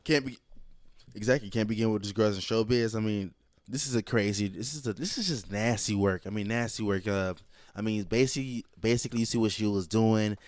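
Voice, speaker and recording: A man's voice: lowish voice, a little fast, a little mellow, no echo, studio quality. A man co-hosting a podcast with casual, slangy, disapproving commentary, often repeating his phrases. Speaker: podcast co-host.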